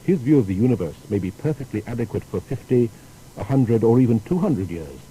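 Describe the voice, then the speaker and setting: A man delivers scripted documentary narration in a measured, explanatory manner. His voice is very dark and soft-edged, yet his pitch moves in lively swings. There is faint noise on the recording. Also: rough recording.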